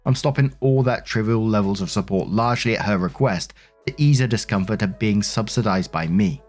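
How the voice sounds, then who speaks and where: A man with a fairly low voice narrates online stories as a host. He reads in a conversational style and speaks directly to his listeners with casual commentary. Music plays faintly behind his voice. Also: fairly quick, darkish tone.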